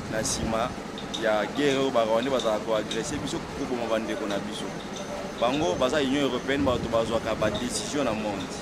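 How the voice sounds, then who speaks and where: A Congolese man speaking emphatically and insistently as he gives his opinion in a street interview. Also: medium pitch. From Democratic Republic of the Congo. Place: outdoors by a doorway on a street. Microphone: small wired lapel mic held near mouth. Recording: loud outdoor street and traffic noise.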